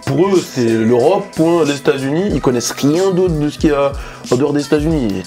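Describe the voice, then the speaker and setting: A young man with a French accent, chatting casually and telling stories in slangy, animated speech. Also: on the high side, brisk, soft background music. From France. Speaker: interview guest. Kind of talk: casual chat, telling anecdotes.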